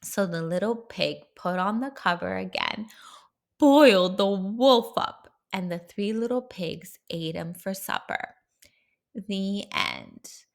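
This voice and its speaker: A woman narrating a children's storybook aloud, expressively and with deliberate dramatic emphasis. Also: mid-range voice, lively intonation, gravelly.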